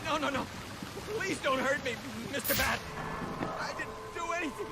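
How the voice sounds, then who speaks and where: An actor playing a cowardly crook in a video game, whimpering and pleading in terror. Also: male voice, very high-pitched, moderate pace, gravelly, very noisy. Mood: panicked pleading. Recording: loud music behind the voice.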